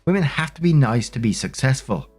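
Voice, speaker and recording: A man's voice: medium pitch, animated, a little fast, a little mellow, slightly rough, soft background music, pitched above the performer's own voice. A man voicing a condescending, insistent middle-aged male cashier in a narrated online story. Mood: patronising. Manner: patronising, insistent.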